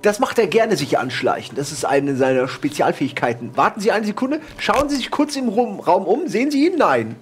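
Man as a snobbish old lord, wildly varied pitch. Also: sing-song, soft background music. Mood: dry. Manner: halting, condescending, aloof. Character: snobbish, world-weary old male aristocrat.

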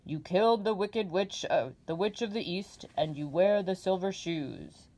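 Lady doing a big booming wizard head, slow and bossy, kinda low. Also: rough recording. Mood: commanding. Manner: slow, loud, imperious proclamations. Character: booming giant head of the wizard, stern and commanding.

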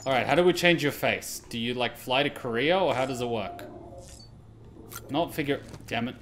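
A man's voice: medium pitch, moderate pace, fairly bright tone. A man giving casual live gameplay commentary, exclaiming, questioning and swearing as he plays. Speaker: gaming streamer. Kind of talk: casual live gameplay commentary, reading on-screen text aloud. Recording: background noise behind the voice.

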